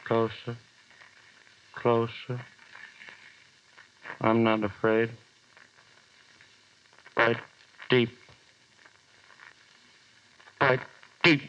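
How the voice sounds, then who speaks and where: A man's voice: medium pitch, very slow, long pauses. An actor playing a lone man hallucinating as a giant spider closes in, in a breathless first-person monologue from an old radio horror drama. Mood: eerily calm. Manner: breathless first-person monologue, panic turning eerily calm. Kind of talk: 1930s radio horror acting. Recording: dull vintage radio recording.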